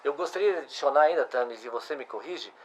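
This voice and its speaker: A man's voice: on the high side, lively intonation, quick pace, low-quality recording. A middle-aged man, hosting a casual interview and asking follow-up questions. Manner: conversational, restarts and asks follow-up questions. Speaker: host.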